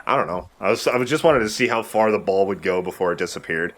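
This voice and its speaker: Male gameplay host, lower register, conversational and explanatory, casual delivery, faint noise in the recording. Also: fast. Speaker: let's play host, playing the game. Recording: noisy mic with steady background noise.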